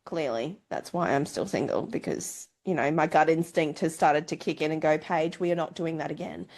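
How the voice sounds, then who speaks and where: A woman's voice: deep voice, steady tone, normal speed, a little mellow. A woman co-hosting a casual chat show, bantering in a cheeky, candid and playful way. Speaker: book club podcast co-host.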